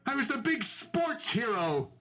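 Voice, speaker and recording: An actor playing a desperate young former football star, pleading emotionally in a husky voice in a 1970s radio drama. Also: male voice, very high voice, sing-song, normal speed, heavily acted. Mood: defensive, bitter. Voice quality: husky, breathy. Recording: dull lo-fi 1970s radio recording.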